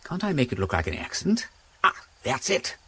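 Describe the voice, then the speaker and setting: An actor playing an eccentric, playful English aristocrat detective with an upper-class accent, whimsical, wordy and commanding in a radio drama. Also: male voice, medium pitch, huge pitch swings, brisk, pushed and bright, breathy edge, exaggerated voice. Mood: playful, busy.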